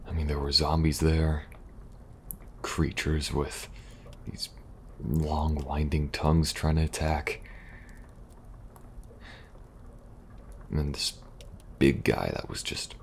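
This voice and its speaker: A man playing a weary, kind young government agent in a soft-spoken, halting ASMR roleplay. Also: rumbling low, steady tone, vocal fry, a little hiss or hum, full character voice. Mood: weary, reminiscing. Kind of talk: intimate ASMR audio roleplay.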